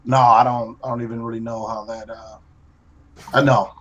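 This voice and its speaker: A man's voice: mid-range voice, level tone, normal speed, fairly bright tone, highs cut off. A man chatting casually and joking on a live drawing stream, laughing often at his own remarks. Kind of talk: casual chat while drawing.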